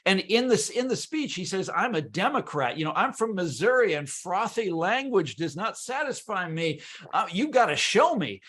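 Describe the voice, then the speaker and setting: An American man from Kansas talking as an interview guest, animated and emphatic, often repeating his words as he explains. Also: high voice, normal speed. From Kansas, United States. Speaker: guest author and historian. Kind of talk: conversational interview.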